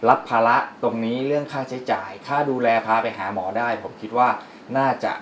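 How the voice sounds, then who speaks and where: Rapid-talking man in a roomy space. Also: mid-range voice, fairly bright tone, slight background noise. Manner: earnest, polite. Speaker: guest expert. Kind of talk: advice. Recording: roomy with faint music.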